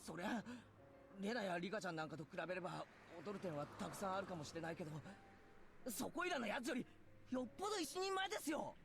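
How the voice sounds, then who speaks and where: An actor playing an earnest, excitable teenage boy in a Japanese visual novel, animated and urgent. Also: sits high, rapid-fire, creaky, over music, heavily acted. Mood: earnest, insistent.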